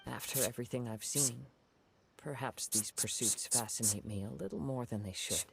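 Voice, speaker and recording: A man voicing a calm, poetic young wandering swordsman in a video game, soft-spoken and formal. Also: medium pitch, normal speed, creaky, very noisy, lightly acted.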